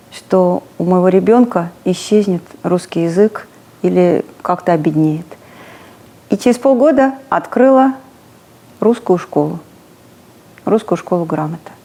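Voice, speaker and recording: A middle-aged woman speaks with a mellow, warm tone. She talks in a measured, earnest way as a school founder in a documentary interview. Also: mid-range voice.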